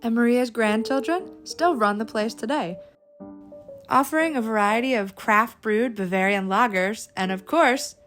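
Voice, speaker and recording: A woman's voice: fairly high pitch, measured pace, a bit bright. A woman hosting a travel vlog, narrating in an upbeat, playful and theatrical way. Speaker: travel show host. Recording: music behind the voice. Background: background music.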